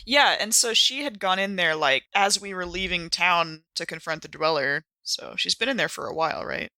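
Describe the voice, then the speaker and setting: A man's voice: high-pitched, normal speed, pushed and bright, dry recording. A man roleplaying in a tabletop game, with formal wording and hesitant repeats. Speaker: player in an actual-play podcast.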